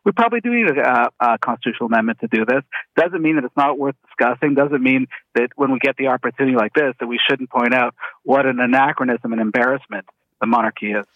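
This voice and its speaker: A man's voice: mid-range voice, fast, dry recording, highs cut off. A man debating as a panelist on a radio talk show, argumentative and opinionated.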